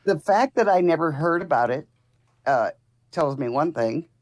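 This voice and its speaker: Low-voiced lady in her 60s calling in, stubborn, argues back. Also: animated, normal speed, darkish tone. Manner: stubborn, defensive, talks over the host. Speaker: caller. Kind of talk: call-in argument.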